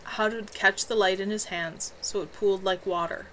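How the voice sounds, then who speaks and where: Woman author, live book reading from home, gentle narration, faint background hiss. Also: mid-range voice, steady tone, normal speed, rough recording. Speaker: author reading her novel.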